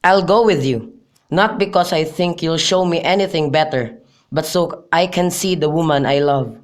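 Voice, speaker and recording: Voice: medium pitch, normal speed, lightly acted. An amateur performer playing a lovesick, miserable young nobleman in a radio drama, reading earnestly and declaiming. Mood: ardent.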